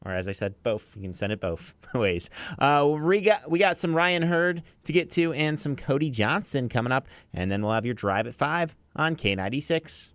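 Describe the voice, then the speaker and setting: A man's voice: mid-range voice, expressive, normal speed, few pauses, a bit bright, no room sound. An American man presenting an afternoon music radio show as its DJ, linking songs and reading sponsor messages. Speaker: radio DJ, afternoon drive host. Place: radio studio. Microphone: close broadcast microphone.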